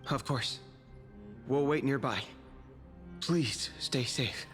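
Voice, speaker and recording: A man's voice: medium pitch, slow, soft background music, natural voice. A man voicing an earnest, respectful young soldier guard in a video game, with long reverb on his voice. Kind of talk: English game voice acting.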